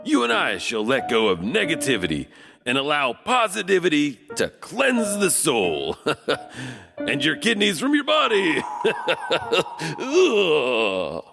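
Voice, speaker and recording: A man's voice: fairly high pitch, wildly swooping pitch, takes it slowly, vocal fry, full character voice. A man playing a gleefully creepy, mock-sinister janitor who hosts a horror audio drama with theatrical storytelling. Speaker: horror podcast host and narrator. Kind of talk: theatrical horror anthology hosting. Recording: music under the voice.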